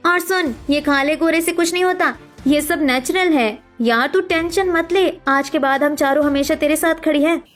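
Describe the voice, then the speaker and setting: A woman's voice: high-pitched, moderate pace, soft background music, lightly acted. A woman voicing a sassy, protective young daughter-in-law, cheeky and emphatic in a cartoon story. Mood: reassuring.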